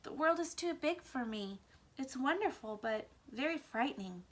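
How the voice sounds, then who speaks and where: A woman's voice: high voice, animated, moderate pace, voice pushed up. A woman voicing a timid, earnest male pet mouse with hesitant, formal, plaintive speech in a children's story. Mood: sad, earnest. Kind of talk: children's book read-aloud.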